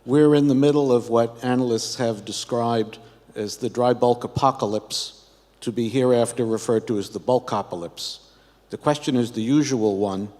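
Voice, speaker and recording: A man acting as interviewer on a conference stage, asking prepared questions in a crisp, direct way. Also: mid-range voice, steady tone, normal speed, mellow. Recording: reverberant conference hall.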